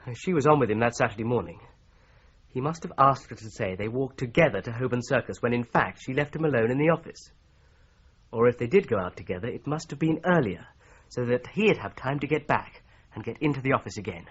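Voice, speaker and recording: An actor playing a discreet, thoughtful British amateur investigator in a radio drama, with a husky voice. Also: male voice, mid-range voice, expressive, a little fast, lo-fi sound. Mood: pondering.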